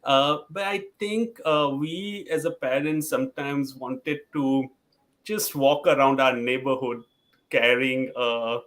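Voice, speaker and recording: A man speaking as a parent on a panel, answering in a hesitant, halting way. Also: mid-range voice, steady tone, a bit bright.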